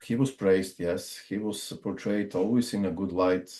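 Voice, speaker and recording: A man from Bulgaria with a Bulgarian accent, interviewing a guest in a measured, deliberate way. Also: low voice, steady tone, warm tone. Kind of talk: interview discussion.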